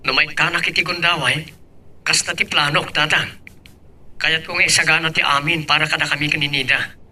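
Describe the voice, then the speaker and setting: A man's voice: fairly high pitch, fairly even intonation, fairly quick, pushed and bright. A young man with a Filipino accent playing an earnest, ambitious, dutiful son in a radio-style drama. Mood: determined. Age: young adult. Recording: distant microphone.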